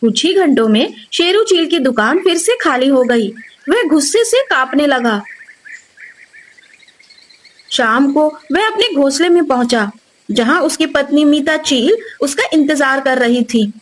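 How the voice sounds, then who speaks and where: A woman's voice: high-pitched, expressive, normal speed. A woman narrating a scripted moral story for children as the storyteller.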